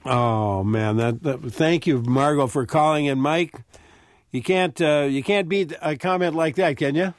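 A man hosting a call-in radio show, reacting warmly to his guest and callers. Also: on the high side, a little slow, no room sound, studio quality. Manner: friendly, reactive.

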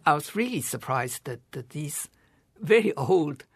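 A man in his seventies from China, a guest scholar giving measured, thoughtful interview answers and pausing to rephrase. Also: a little high, expressive, husky, no room sound, studio quality.

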